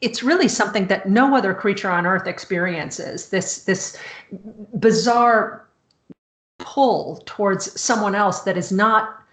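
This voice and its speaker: A woman's voice: mid-range voice, a bit bright. Chatty woman host in an echoey room. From United States. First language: Czech. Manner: halting, many restarts and fillers. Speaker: host, author. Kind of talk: casual conversation.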